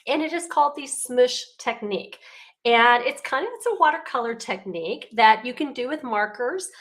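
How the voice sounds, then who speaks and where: Woman, raspy, congested, chatty, craft demonstrator. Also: on the high side, lively intonation, normal speed. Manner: chatty and warm, with frequent ums. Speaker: card-making demonstrator. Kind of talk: casual craft tutorial.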